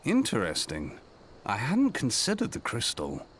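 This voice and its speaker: A man's voice: medium pitch, huge pitch swings, measured pace, slight background noise. A man playing a wry, urbane, perceptive adventurer in a fantasy game, with teasing and theatrically formal diction. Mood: intrigued. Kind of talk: fantasy game cutscene acting.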